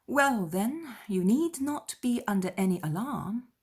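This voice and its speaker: A woman voicing a spirited young woman in an audiobook drama, with an animated, emphatic manner, playful yet sincere. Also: on the high side, measured pace, breathy edge, voice pushed up. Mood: playful assurance. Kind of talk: dramatized audiobook character dialogue.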